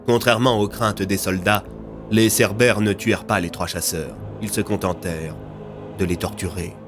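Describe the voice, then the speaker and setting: Male narrator for audio fiction: lowish voice, dramatic scripted delivery, clear music bed. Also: fairly even intonation, normal speed.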